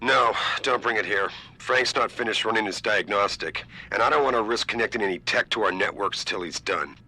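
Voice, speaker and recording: An actor playing a commanding, businesslike company boss in a video game, giving orders decisively. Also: male voice, fairly low pitch, fairly quick, sharp tone, full character voice, low-quality recording. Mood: brisk, commanding. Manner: decisive, directive.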